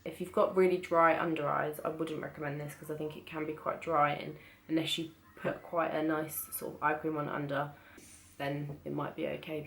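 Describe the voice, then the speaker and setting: A British woman with a croaky voice from being ill, chatting casually through a beauty haul with lots of hesitant restarts. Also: low-pitched, a little hiss or hum. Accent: British English. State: croaky from illness. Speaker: beauty vlogger. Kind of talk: casual product haul chat.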